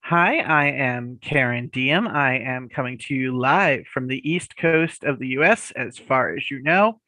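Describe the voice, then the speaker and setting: A woman's voice: a bass voice, a little slow, bright, forceful tone, no room sound. A woman speaking as a panelist over a video call, wry and playful. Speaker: panelist, author. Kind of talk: panel discussion.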